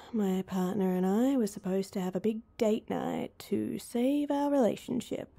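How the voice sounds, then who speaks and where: A woman's voice: mid-range voice, a little slow, creaky, mellow. A woman playing an awkward, heartbroken young woman in an intimate roleplay audio drama, speaking hesitantly and with self-deprecation. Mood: sad, resigned.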